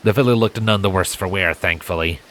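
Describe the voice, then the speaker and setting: A man's voice: sits low, fairly quick, a bit bright, slight background noise. A man reading fan fiction as a dramatic, scripted storybook narration. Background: music and rain effects.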